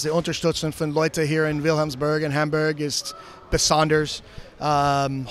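A middle-aged head coach, an English speaker giving a post-game interview in halting German that slips into English phrases, recorded on a handheld mic in an indoor arena. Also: male voice, on the high side, a little hiss or hum. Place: indoor arena, in front of a sponsor wall.

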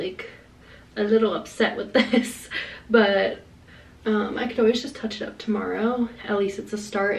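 A woman's voice: medium pitch, darkish tone, recorded from a distance. A young woman in her twenties with a Long Island accent, chatting casually to camera at home, rambling with lots of "like" fillers. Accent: Long Island American. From Long Island, USA. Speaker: vlogger. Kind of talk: casual vlog chat. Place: small indoor room, then home kitchen.

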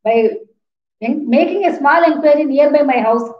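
A woman's voice: high voice, moderate pace. A woman in her fifties with an Indian accent, lecturing an online class in a didactic, repetitive way with questions to students. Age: 50s. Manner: didactic, repeats points, poses questions. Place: small plain room indoors. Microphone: webcam or laptop mic at a distance. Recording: roomy, echoing, dull laptop-mic sound.

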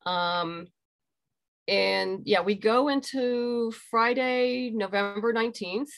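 A woman's voice: mid-range voice, fairly bright tone. A middle-aged woman speaking as an interview guest, explaining things conversationally over a video call from a room at home. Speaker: interview guest, graphic novel creator. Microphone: video call computer microphone.

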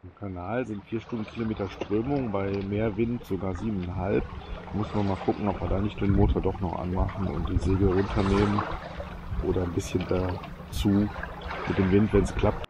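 A man talking casually while sailing, thinking aloud in a relaxed, matter-of-fact way, recorded outdoors on a small boat. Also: low-pitched, moderate pace, dull audio. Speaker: sailing vlogger. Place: outdoors on a small catamaran on open water. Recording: wind and water noise behind.

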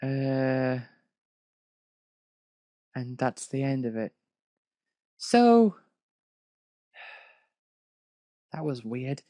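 Slow-talking fanfic reader, dry close recording. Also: mid-range voice, very animated, mellow, no echo. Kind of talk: casual reading aloud with commentary.

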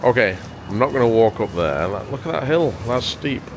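A man's voice: medium pitch, expressive, moderate pace, noisy background. A man in his forties walking between pubs, filming himself at arm's length and pointing things out in casual chat. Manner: upbeat, pointing things out as he walks. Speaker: travel vlogger. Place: pubs and town streets, indoors and outdoors. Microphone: handheld camera at arm's length.

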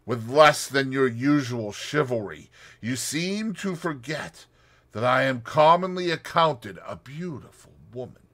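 A man's voice: medium pitch, pitched above the performer's own voice, heavily acted. Man doing a cool, scornful schemer woman. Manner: slow, calm, scornful and measured.

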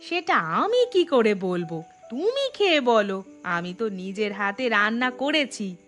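A woman playing a practical, chatty village housewife in a Bengali cartoon, speaking in an expressive, homely way. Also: on the high side, a little slow, faint music behind.

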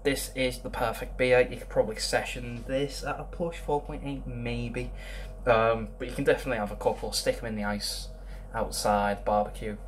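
A man's voice: medium pitch, fairly even intonation, moderate pace. Young man, casual beer review, rambling with ums, webcam in a small room, faint hum. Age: young adult. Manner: chatty, rambling, lots of ums.

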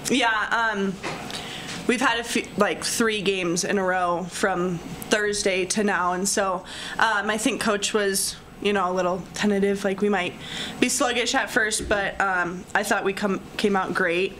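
A woman's voice: medium pitch, fairly even intonation, a little slow, fairly bright tone, a little hiss or hum. A young woman, a college basketball player, answering press conference questions in a chatty, upbeat way with many fillers, at a desk mic in a press room. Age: young adult. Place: press room in front of a sponsor backdrop. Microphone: desk microphone.

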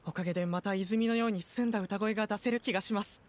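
A woman voicing a gentle, grateful magical water creature in a fantasy game. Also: mid-range voice, fairly even intonation, quick pace, natural voice. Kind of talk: game voice acting.

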